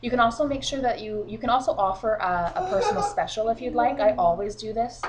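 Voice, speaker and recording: A woman's voice: fairly high pitch, animated, quick pace, noisy background. A woman with a cold, sniffling a little, giving chatty, encouraging advice to her sales team from home. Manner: chatty and encouraging, frequent fillers. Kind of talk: casual instructional vlog. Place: at home, children beside her.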